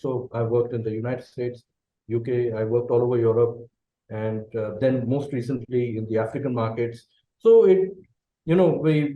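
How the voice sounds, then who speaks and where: A middle-aged man with an Indian English accent and a Bengali mother tongue, talking through his answers in a reflective way. His voice is dark and mellow. It sounds roomy and a little dull, like a remote call. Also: mid-range voice. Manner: thoughtful, checks in with a frequent "okay". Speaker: guest, company managing director.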